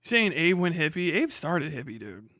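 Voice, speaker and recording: A man's voice: on the high side, sing-song, normal speed, sharp tone, no room sound, clean studio sound. A man livestreaming in a casual, comic style, riffing animatedly with plenty of slang and swearing. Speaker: live streamer.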